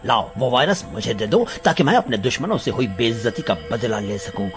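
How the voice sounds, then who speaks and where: A man voicing the vengeful, gloating ghost of an army officer in an animated story, with drawn-out menacing speech. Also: medium pitch, over music, natural voice. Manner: slow, drawn-out menacing speech with evil laughter. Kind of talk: animated Hindi story voice acting.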